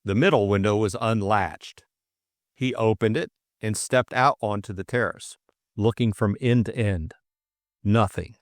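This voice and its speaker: A man's voice: fairly low pitch, a little slow, no echo, clean studio sound. A middle-aged man reading a classic novel and discussing it as a co-host. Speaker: audiobook reader and co-host. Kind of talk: storybook narration and casual book discussion.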